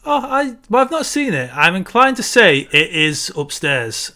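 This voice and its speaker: A man, co-hosting a casual film chat over a video call with quick asides. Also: sits high, very animated, sharp tone. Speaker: podcast co-host. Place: home room on a video call.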